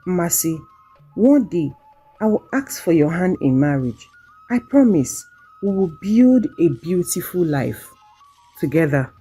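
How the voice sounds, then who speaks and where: A woman's voice: fairly low pitch, very animated, unhurried, warm tone, husky, soft background music, exaggerated voice. A woman storyteller voicing an earnest, ambitious young village man in love, tender and solemn. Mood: tender promise.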